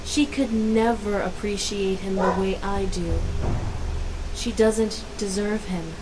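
A woman's voice: mid-range voice, fairly even intonation, slow pace, lightly acted. A woman voicing an obsessive, menacing teenage schoolgirl stalker in a video game, cold, intense and possessive. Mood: possessive, vengeful. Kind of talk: dramatic game monologue and dialogue. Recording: noisy game playback, barking dog behind.

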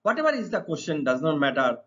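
A middle-aged man with an Indian accent, teaching English to students in deliberate, emphatic, repetitive phrases. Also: a little high, sing-song, a bit bright. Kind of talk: exam lesson explained to students. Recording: dull, lo-fi sound.

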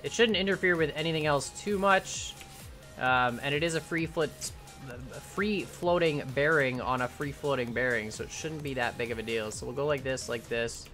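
A young man in his twenties chatting casually as he builds during a live game stream, rambling and thinking aloud, his voice starting to go hoarse. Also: mid-range voice, moderate pace, sharp tone, faint music behind. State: voice going hoarse. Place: home room at a computer.

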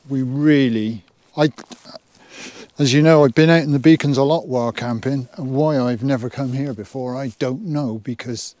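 A man's voice: mid-range voice, darkish tone, no echo. Older Englishman chatting casually outdoors. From England. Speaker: outdoor vlogger. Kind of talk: casual chat to camera. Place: outdoors on open hilltop. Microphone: clip-on lapel mic.

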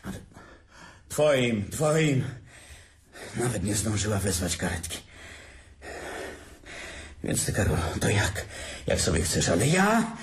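An actor playing a bitter, morbid, hard-drinking brother in an audio drama, halting, repeating words and trailing off. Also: male voice, on the low side, sing-song. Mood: bitter, brooding. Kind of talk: naturalistic audio drama acting.

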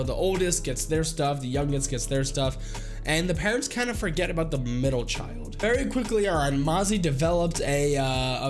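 A young man in his twenties talks in a congested, nasal voice, plainly sick. He keeps it chatty and self-mocking, his voice sitting slightly high, recorded close on a desk mic in a small home studio with faint music under him. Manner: jokey, chatty, laughs at himself. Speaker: gaming YouTuber. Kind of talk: casual video commentary. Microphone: desk podcast mic on arm.

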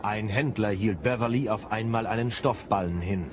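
An actor as a composed storyteller, giving scripted narration in a German audio drama. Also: male voice, on the low side, moderate pace, slight background noise, lightly acted.

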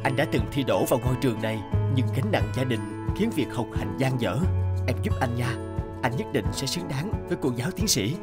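A man playing an earnest, gentle young working man and devoted son in a cartoon. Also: medium pitch, lively intonation, brisk, lightly acted. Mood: tender, sincere. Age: young adult. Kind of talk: Vietnamese cartoon dialogue. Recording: loud music under the voice.

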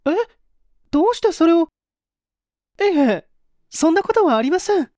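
Man as genteel young woman, audiobook character, anxious, polite, high pitch, mellow tone. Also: big pitch swings, normal speed, no echo, clean studio sound. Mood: anxious, startled. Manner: polite, feminine phrasing.

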